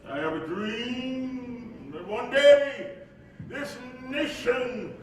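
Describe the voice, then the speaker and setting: An actor playing a weary, embattled civil rights leader and husband in a staged play, speaking emphatically like an orator. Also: male voice, lively intonation, very slow, full character voice. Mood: fervent oratory. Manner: oratorical, emphatic, stammering when troubled. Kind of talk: staged play reading, dramatic monologue and dialogue. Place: live staged reading in an echoey hall. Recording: echoey live hall, distant mic, rumbly noise.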